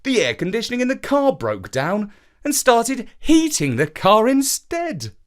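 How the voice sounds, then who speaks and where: A man's voice: extremely high pitch, wildly swooping pitch, measured pace, voice pushed up, full character voice. A man voicing a hot, exasperated father in a children's story, complaining emphatically. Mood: angry complaining.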